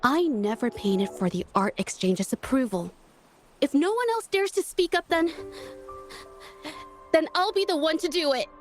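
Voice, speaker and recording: A woman voicing a gentle, earnest young painter in a video game, speaking softly and hesitantly but with quiet resolve. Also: sits high, animated, soft background music. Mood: nervous but resolute. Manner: hesitant, soft-spoken, halting. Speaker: game character.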